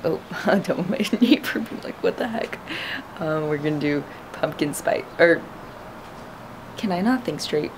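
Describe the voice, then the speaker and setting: Raspy-voiced young woman vlogging casually. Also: low-pitched, noisy background. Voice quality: raspy morning voice. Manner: chatty, rambling with fillers and restarts. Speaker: fitness vlogger. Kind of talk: casual vlog chat. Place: balcony, then kitchen and backyard. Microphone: handheld camera close up.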